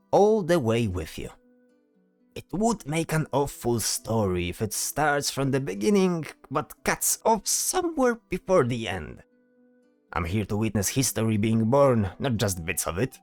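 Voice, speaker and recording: A man's voice: medium pitch, no echo. A man voicing a bold, teasing bard in a fantasy audio drama, talking theatrically and tagging questions onto his sentences. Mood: exasperated, loyal. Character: flamboyant male bard, teasing, bold, self-assured.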